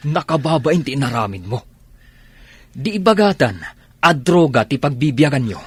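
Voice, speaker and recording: A man playing a humble, hardworking elder brother who works as a janitor, in a Filipino radio drama, husky and with a Filipino accent. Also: medium pitch, very animated, normal speed, no echo. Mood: reproachful.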